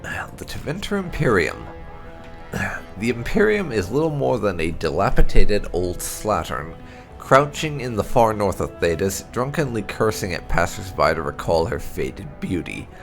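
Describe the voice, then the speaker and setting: Gravelly man narrating fantasy lore, lively intonation. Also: fairly low pitch, a little slow. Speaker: gameplay channel host. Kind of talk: dramatic read-aloud narration of fantasy lore. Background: music.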